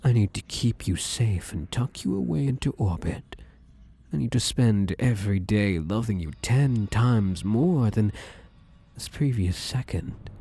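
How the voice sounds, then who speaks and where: A possessive shadow creature in male form, acted by a man, speaks in a low, dark murmur that rises and dips freely. He is domineering and obsessively devoted, coaxing and commanding up close in an intimate ASMR roleplay. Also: heavily acted. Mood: possessive, adoring. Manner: intimate, coaxing, commanding, slipping into breathy whispers.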